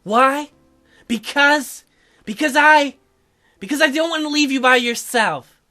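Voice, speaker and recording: Man putting on a high, earnest teenage girl. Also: unhurried, sharp tone, soft background music, heavily acted. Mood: pleading, apologetic. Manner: pleading, high-pitched, earnest.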